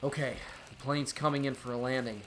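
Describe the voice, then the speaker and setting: An actor playing an uneasy speakeasy owner turned informant in an old-time radio drama, hedging and colloquial. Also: male voice, medium pitch, steady tone, fairly quick, fairly bright tone, slight background noise, lightly acted. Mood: casual. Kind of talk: 1930s radio drama acting.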